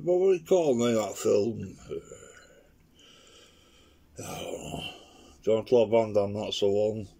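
A man with a croaky, infection-roughened voice talks his way through a watercolour painting at his living-room table. He speaks slowly and in a rambling way, his voice low, dark and gravelly. It sounds like a home video from a camera sitting on the table. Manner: rambling, chatty, talks to himself while painting. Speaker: watercolour artist demonstrating. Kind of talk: casual painting demo commentary. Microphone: camera on the table, speaker off-screen.

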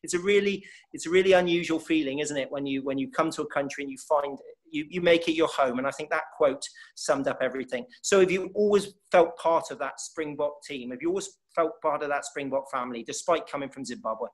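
A middle-aged man from England hosts an interview over a video call, polished and measured. His voice sits fairly high for a man. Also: rough recording. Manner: polished, reads quotes aloud. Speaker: host. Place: indoor room with curtain.

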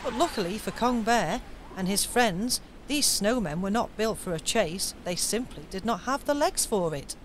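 A woman's voice: medium pitch, normal speed. Woman narrating a radio pantomime. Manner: steady storytelling. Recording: radio recording with faint noise.

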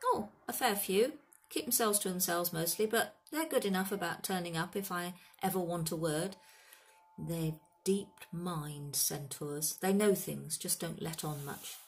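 A woman's voice: lowish voice, measured pace. A woman voicing a big, kindly but grumpy gamekeeper man in a storybook, blunt and colloquial in rustic dialect. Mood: musing. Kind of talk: storybook character voice.

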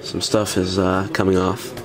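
Low-pitched man, casual hobbyist demo. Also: steady tone, moderate pace, crowd noise. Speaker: audio hobbyist presenter. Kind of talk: casual handheld demo commentary. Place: room at home with wooden floor.

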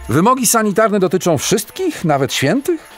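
Animated, inquisitive radio host played by a man. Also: high voice, normal speed, faint music behind. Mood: urgent. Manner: theatrical, probing, polite. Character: lively, inquisitive male radio phone-in host. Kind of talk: radio magazine presenting in a Christmas play.

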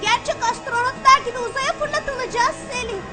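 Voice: extremely high pitch, fairly quick, over music. A performer voicing a curious, enthusiastic young boy in a children's cartoon, wondering and questioning.

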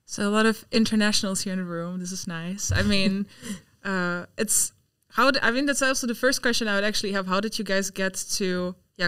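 A woman's voice: medium pitch, fairly bright tone, smooth, no room sound, clean studio sound. A young woman hosting a university podcast, chatty and upbeat, with many filler words. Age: young adult. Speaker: podcast host. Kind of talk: casual interview chat. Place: university studio room. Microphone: desk mic on stand.

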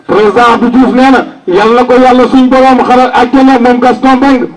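A man's voice: extremely high pitch, steady tone, fast, recorded from a distance, poor audio. A Senegalese man announcing condolences to mourners, relaying a message at an outdoor gathering.

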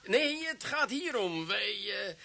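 A blustery police commissioner in a radio play. The voice is high and very sharp, with lively swings of pitch. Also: male voice, slow pace, heavily acted. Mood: flustered, apologetic. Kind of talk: old Dutch radio drama acting.

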